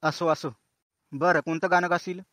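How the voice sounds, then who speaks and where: A chatty cartoon show host, played by a man, speaks colloquial banter in a fairly high voice. The sound is very dry, and he teases contestants while addressing viewers like friends. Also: flat delivery, moderate pace, a bit bright, no room sound, natural voice. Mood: matter-of-fact. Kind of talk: comic cartoon show presenting.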